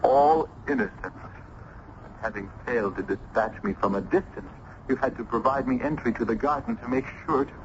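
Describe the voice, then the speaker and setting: An actor playing a passionate, tormented young student lover in melodramatic old-time radio style. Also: male voice, mid-range voice, normal speed, lightly acted. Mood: bitter, accusing. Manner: melodramatic, intense. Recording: noisy, muffled old radio broadcast.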